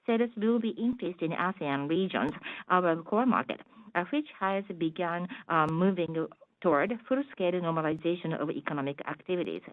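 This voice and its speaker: Tinny phone-like audio, dry, lower-pitched woman, formal financial presentation, halting measured delivery. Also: no echo.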